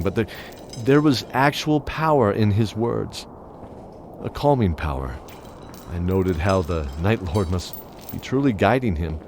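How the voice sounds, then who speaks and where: Deep-voiced, solemn knight captain, played by a man. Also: darkish tone, a little hiss or hum. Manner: solemn, descriptive, dramatic delivery. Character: weary, guilt-ridden knight captain narrating his memoir. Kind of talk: scripted audio drama narration and dialogue.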